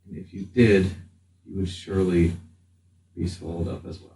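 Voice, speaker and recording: A man playing a grave, stoic, haunted warrior in a tabletop game, speaking in a halting, formal monotone. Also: low voice, lightly acted. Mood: solemn, foreboding. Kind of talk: tabletop roleplay acting. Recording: roomy distant mic, faint hiss.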